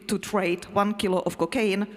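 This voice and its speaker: A woman, an English speaker, talking casually and haltingly as a panel speaker in a matter-of-fact way. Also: mid-range voice, fairly even intonation, a little mellow. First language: not English. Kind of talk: role-played presentation as a crime boss to a live audience. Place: festival hall. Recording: echoey hall sound.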